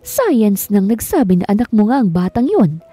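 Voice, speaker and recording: A woman's voice: medium pitch, sing-song, normal speed, mellow, slightly rough, no echo, soft background music. A woman voicing a wealthy older man who insistently orders his son around. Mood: commanding. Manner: authoritative, insistent.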